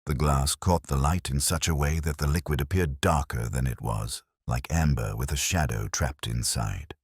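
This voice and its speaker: Man voicing a weary middle-aged inspector, extremely deep, rough-edged, somber, reflective, first-person mystery narration. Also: dry recording, studio quality. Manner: somber, reflective, measured literary phrasing. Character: weary, melancholy male English police inspector recounting a case.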